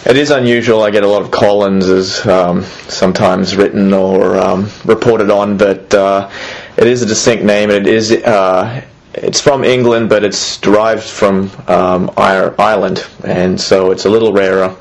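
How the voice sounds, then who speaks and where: A man's voice: fairly low pitch, fairly even intonation, a little slow, rough recording. A man in his thirties, originally from Australia, answering interview questions hesitantly with many fillers and restarts. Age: 30s. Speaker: museum executive, interviewee.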